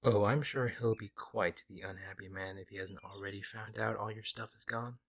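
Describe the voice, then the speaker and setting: Casting: male actor as teasing, protective werewolf shifter, lowish voice, casual playful delivery, intimate audio roleplay. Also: fairly even intonation, normal speed, vocal fry, fairly bright tone. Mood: reassuring, protective.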